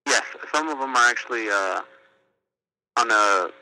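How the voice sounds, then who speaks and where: A man's voice: medium pitch, unhurried, pushed and bright, poor audio. A man working as a store clerk, politely and hesitantly helping a customer over the phone. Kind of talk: customer service phone call.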